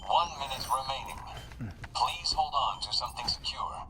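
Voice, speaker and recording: A man voicing a calm lab computer that announces countdowns in flat, measured phrases. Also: mid-range voice, low-quality recording. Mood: announcing. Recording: game audio with music behind.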